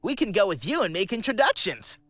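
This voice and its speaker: A woman's voice: mid-range voice, very animated, a little fast, fairly bright tone, no echo. A woman voicing a cocky, easygoing young male cartoon hedgehog in a comic dub, breezy and confident over music. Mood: cocky, upbeat. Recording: music under the voice.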